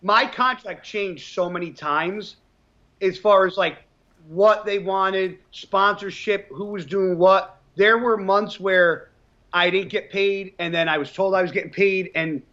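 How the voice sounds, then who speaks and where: A man's voice: high-pitched, measured pace, lo-fi sound. Blunt, profane bodybuilder in his thirties. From New Jersey. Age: 30s. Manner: blunt, swears often, animated storytelling. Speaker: professional bodybuilder guest. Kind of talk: casual interview chat.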